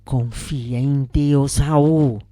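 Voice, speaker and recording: Woman voicing an unhurried angel of hope. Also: a bass voice, steady tone, dark tone, no echo, heavily acted. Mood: reassuring. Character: gentle encouraging inner voice of hope, like an angel.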